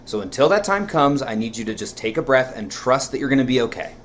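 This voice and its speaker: A man's voice: medium pitch, very animated, quick pace, a bit bright, a little hiss or hum. A man playing a blunt, sardonic office worker in a script table read, with a wry way of talking. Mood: steady, reassuring.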